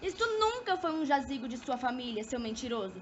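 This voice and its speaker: A woman's voice: high voice, very animated, fairly quick, lo-fi sound, a little hiss or hum. A woman acting a haughty, indignant young woman in an amateur radio drama, with an imperious, emphatic delivery. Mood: annoyed, indignant.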